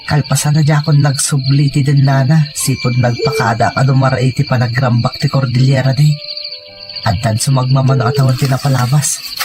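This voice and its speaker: A man's voice: medium pitch, little pitch movement, fairly quick. A man narrating a dramatic first-person story in a reflective manner. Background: music.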